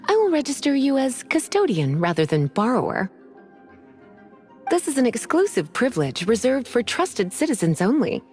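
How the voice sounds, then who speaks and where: A woman voicing a languid, teasing adult librarian mage in a video game, speaking in a slow, drawling way. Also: fairly high pitch, sing-song, darkish tone. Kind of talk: game voice acting. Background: faint game music.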